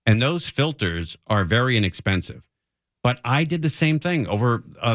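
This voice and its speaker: A man's voice: medium pitch, no room sound, clean studio sound. A man hosting a radio talk show, giving off-the-cuff, opinionated commentary in a conversational way and repeating phrases for emphasis. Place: radio studio.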